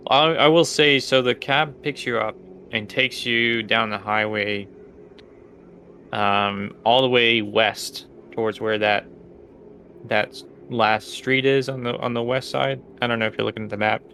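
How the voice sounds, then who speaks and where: A man narrating a tabletop game as game master, halting as he thinks aloud. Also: mid-range voice, sharp tone, slight background noise.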